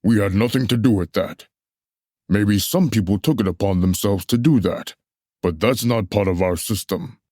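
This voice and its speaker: A man's voice: on the low side, normal speed, warm tone, no echo, studio quality, close to an everyday voice. A man in a puppet show playing an officious, unbothered messenger, dismissive and matter-of-fact. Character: unbothered, officious male messenger collecting banned books. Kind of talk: musical puppet show stage acting.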